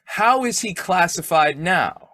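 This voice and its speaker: A man playing a blustering, excitable, foolish but affectionate husband in a folksy old-time radio comedy. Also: sits high, slow, dry recording, clean studio sound, heavily acted. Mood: amused curiosity. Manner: folksy exclamations, swooping emphasis.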